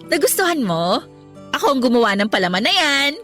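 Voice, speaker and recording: A woman's voice: high voice, very animated, a little fast, a bit bright, soft background music. A young woman voicing a shy, naive heroine in a radio love story, earnest and easily flustered. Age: young adult. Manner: earnest, flustered, then indignant. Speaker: heroine Abby. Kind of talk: radio drama acting.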